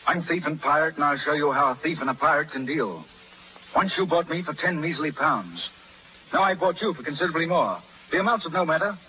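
A man's voice: fairly high pitch, expressive, a little fast, natural voice. Bold pirate captain, male actor, proud and ardent, theatrical thirties radio delivery, dull noisy recording. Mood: bitter, defiant. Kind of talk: 1930s radio drama acting.

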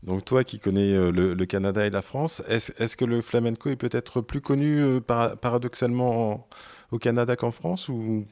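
A man hosting a radio interview, asking questions in a halting way with many repeated words. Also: medium pitch, darkish tone. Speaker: radio host.

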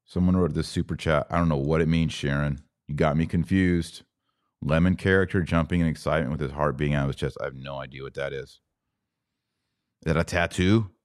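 Deep-voiced man in his forties, blunt. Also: steady tone, brisk, no room sound, studio quality. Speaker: podcast host. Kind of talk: casual livestream talk, reading and answering viewer comments.

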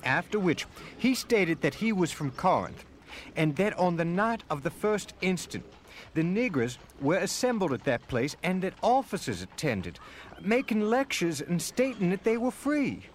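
A man's voice: high voice, sing-song, measured pace, slight background noise. A man playing a stern, formal Civil War-era official declaiming his letters in a dramatic reading. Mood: agitated, indignant.

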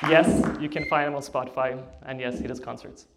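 A man presenting at a meeting, giving quick, low-key introductions. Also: fairly even intonation, vocal fry, very noisy. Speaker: conference host.

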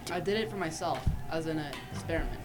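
A teenage girl plays a resigned scientist in youth radio theatre. The voice is low, measured and grave, with music underneath. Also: expressive. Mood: resigned, firm. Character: resigned, dutiful male robot scientist.

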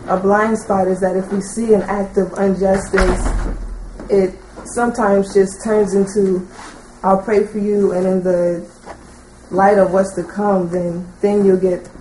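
A woman in the audience at a teaching session, putting a long, unscripted question in a hesitant, halting way full of filler likes. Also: medium pitch, warm tone. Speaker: audience member asking a question. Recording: roomy echo, faint background noise.

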